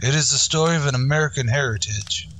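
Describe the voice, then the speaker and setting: A man's voice: medium pitch, fast talker, a bit bright, low-quality recording. Casting: male narrator for old-fashioned western radio serial; mid-range voice, solemn and grand, faint noise behind. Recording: faint background noise, ambience.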